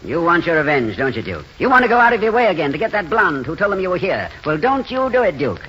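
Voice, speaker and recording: Eloquent doomed dreamer for old radio drama: lightish pitch, very expressive, earnest and theatrical. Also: male voice, fast, slight background noise. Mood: urgent, impassioned. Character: wistful, fatalistic romantic male drifter, gently eloquent. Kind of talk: 1940s radio drama acting. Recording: muffled 1940s radio recording.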